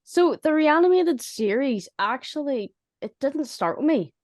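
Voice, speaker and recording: A woman with a fairly high voice talks informally among colleagues about her writing. Her delivery is conversational and unscripted. The sound is dry, with hardly any room to it. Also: animated, unhurried, darkish tone, no room sound. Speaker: audio drama writer. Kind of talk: casual group chat about projects.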